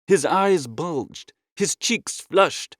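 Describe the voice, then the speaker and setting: A man narrating a comic first-person story in an expressive, breezy and theatrical way. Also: on the high side, very slow, no room sound, studio quality. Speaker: audiobook narrator.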